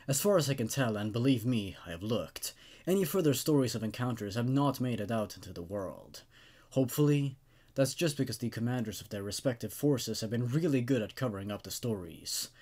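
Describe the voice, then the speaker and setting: A man's voice: medium pitch, lively intonation, fairly quick, darkish tone. A man hosting horror story readings, moving between narration and informal, casual commentary. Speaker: host narrating horror stories.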